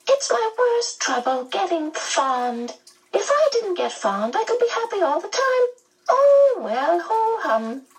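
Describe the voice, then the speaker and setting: A woman's voice: very high-pitched, sing-song, slow, far from the mic, voice pushed up, heavily acted, poor audio. A woman voicing a jolly, flirtatious, sentimental otherworldly medium in a gushing, exclamatory storybook manner. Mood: fretful, then jolly.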